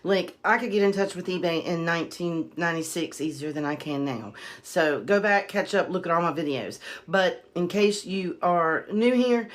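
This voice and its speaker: Casting: American-born woman, lowish voice, online seller vlogger, plain-spoken and aggrieved, casual storytelling with firm emphasis. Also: fairly bright tone. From United States. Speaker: online reseller and video creator. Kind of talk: casual vlog storytelling and advice.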